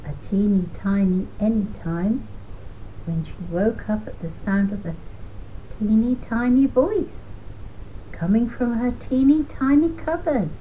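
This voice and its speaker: An older woman reading a children's storybook aloud in a deliberate, gently emphatic way. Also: a little high, phone-quality sound, a little hiss or hum.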